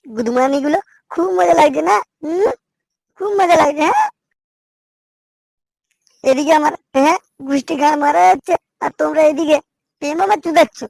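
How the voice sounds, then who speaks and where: A high-voiced young cartoon layabout, played by a woman, brash and resentful. He grumbles and swears like a put-upon worker with a grudge. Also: moderate pace, creaky, long pauses, darkish tone, no echo, poor audio. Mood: angry complaining. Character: foul-mouthed, resentful young male slacker worker with big threats. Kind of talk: Bengali comedy cartoon dub.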